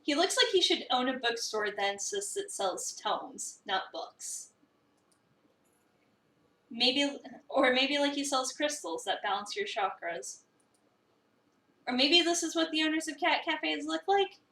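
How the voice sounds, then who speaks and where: A woman's voice: high-pitched, lively intonation, a little slow, sharp tone, heavily acted. A woman voicing a snarky, skeptical young cafe newcomer's inner thoughts in a visual novel reading, with a wry, sarcastic delivery. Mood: astonished, snarky. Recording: roomy echo, distant microphone.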